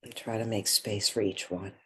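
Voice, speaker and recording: A woman guiding a meditation, speaking gently in short, measured phrases with long pauses between them. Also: a bass voice, fairly even intonation, breathy edge. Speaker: guided meditation leader. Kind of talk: guided meditation.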